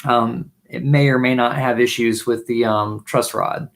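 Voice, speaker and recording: A hoarse man in his thirties, talking at a middle pitch as he hosts a relaxed live stream. He muses aloud, trails off and restarts, clearing his throat now and then. The sound comes from a webcam mic at desk distance in a small room. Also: a little slow. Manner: musing, trailing off with restarts while playing. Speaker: livestream host and bassist. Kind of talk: casual live stream chat. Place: small home music room, indoors. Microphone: webcam mic at desk distance, wears headphones.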